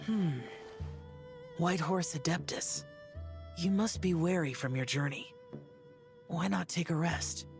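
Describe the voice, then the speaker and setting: Woman playing a young male demon slayer, low-pitched, terse, stoic, reserved, video game dialogue. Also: slow pace, gravelly, pauses often. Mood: measured. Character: stoic, reserved male demon-slaying immortal with youthful looks. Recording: game music behind the voice.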